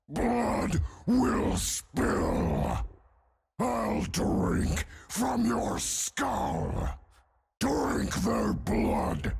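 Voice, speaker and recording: A hulking undead warrior from a video game, savage and bloodthirsty. The voice is extremely rough and gravelly, lurching from guttural growls to high roars. Every phrase comes slowly and with menace. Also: male voice, a little mellow, heavily acted. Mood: savage bloodlust. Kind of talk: video game character voice lines.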